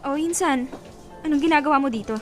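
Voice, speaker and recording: Woman playing lovesick young woman, high-pitched, plaintive, hesitant, radio drama, music bed. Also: fast, close to an everyday voice. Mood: sulky, uneasy. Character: heartbroken young woman clinging to her cheating boyfriend. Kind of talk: Tagalog radio drama acting.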